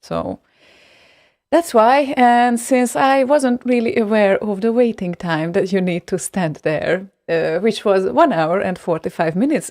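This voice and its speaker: Creaky-voiced chatty woman radio host. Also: medium pitch, a little mellow, no echo, studio quality. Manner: chatty, rambling with many fillers and restarts. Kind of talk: casual radio chat. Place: radio studio.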